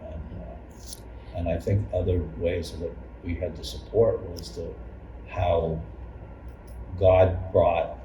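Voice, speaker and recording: Low-voiced man, echoey and distant. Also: little pitch movement, takes it slowly, pauses often, dark tone. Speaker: church member recalling past challenges. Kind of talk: casual reminiscence in a group interview. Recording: echoey room, distant mic, clear noise.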